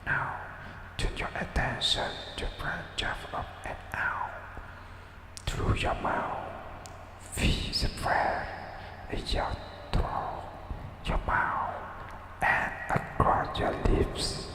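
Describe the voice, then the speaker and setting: A man whispering a guided breathing meditation in a slow, soothing way, leaving long pauses. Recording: echoey room with long reverb.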